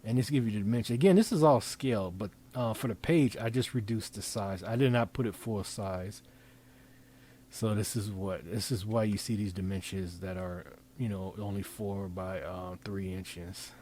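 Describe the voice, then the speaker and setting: A man talking through an informal software demonstration, improvising in a halting way as he thinks aloud and repeats phrases. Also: lowish voice, a little hiss or hum. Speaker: presenter demonstrating design software. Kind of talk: informal screen-recording tutorial, improvised.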